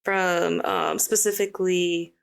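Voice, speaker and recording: A young woman from Hawaii, a guest artist, chatting casually in an interview with a relaxed, rambling manner and lots of fillers. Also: fairly low pitch, slow, vocal fry.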